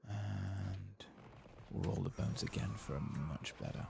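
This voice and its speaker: A man giving soft-spoken ASMR commentary over a video game, often slipping into whispers. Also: deep voice, measured pace, gravelly, background noise. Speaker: host of an ASMR game playthrough.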